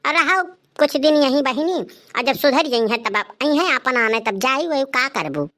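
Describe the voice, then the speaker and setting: An indignant village mother from a comedy cartoon, acted by a woman, with an Awadhi accent. Her voice is high and sharp, and she complains emphatically like a bossy elder sister. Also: expressive, moderate pace. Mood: scolding. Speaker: voice actor of a comedy cartoon channel. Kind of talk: dialect cartoon comedy acting.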